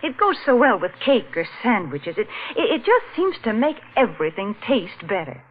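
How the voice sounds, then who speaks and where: A woman's voice: medium pitch, sing-song, moderate pace, muffled recording, a little hiss or hum, lightly acted. A woman playing a sweet, practical sponsor spokeswoman in 1940s radio, cheerful and prim in banter and ads. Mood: cheerful selling. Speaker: co-host and sponsor announcer. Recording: band-limited vintage radio.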